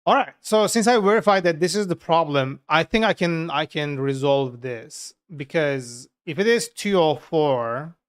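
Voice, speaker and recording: Man, Turkish accent, slightly high voice, smooth clear tone, casual and profane, live coding chat. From Turkey. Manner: frank and profane, talks to chat while coding. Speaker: software developer streaming live coding.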